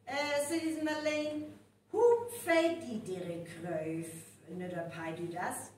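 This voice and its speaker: A woman's voice: fairly high pitch, flat delivery, slow. A woman with a North Frisian accent playing an inquisitive village woman picking over suspicions in a live radio play. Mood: insistent. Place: live stage performance venue. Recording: echoey live hall, distant mic, faint noise.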